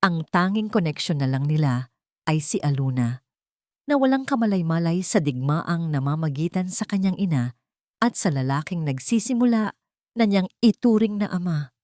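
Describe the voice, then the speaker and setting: Woman reading a dramatic story, kinda low voice, very animated, super dry recording. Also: moderate pace, dry recording. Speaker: storyteller, narrator. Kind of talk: dramatic scripted story narration.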